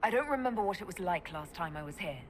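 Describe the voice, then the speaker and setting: An actress playing a sharp, pragmatic elf mage leader in a video game, dry and direct. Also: female voice, fairly low pitch, animated, quick pace, slight background noise, natural voice.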